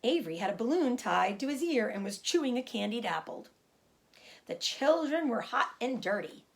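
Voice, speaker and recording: A woman teacher reading a children's book aloud, gently stopping to explain words for young listeners. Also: fairly high pitch, big pitch swings, normal speed, gravelly.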